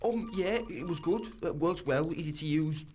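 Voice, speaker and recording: A performer voicing a flat, hesitant male customer in a spoof advert, over quiet music. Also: medium pitch, normal speed, natural voice. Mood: unenthused.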